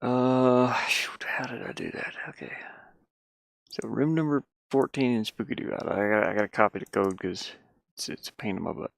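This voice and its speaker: A man thinking aloud in a casual, halting mutter as he works on a game at his computer, talking mostly to himself. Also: lowish voice, big pitch swings, vocal fry, dry recording. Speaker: hobby game developer. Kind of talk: casual think-aloud screen-recording commentary.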